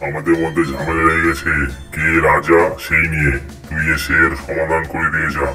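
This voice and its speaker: A man's voice: extremely low pitch, level tone, fairly quick, sharp tone, soft background music. A man voicing a boastful, hot-headed tiger who thinks himself a king in a children's cartoon, with a pompous, commanding manner. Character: boastful, hot-headed male tiger claiming jungle kingship. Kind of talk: children's cartoon voice acting in Bengali fable.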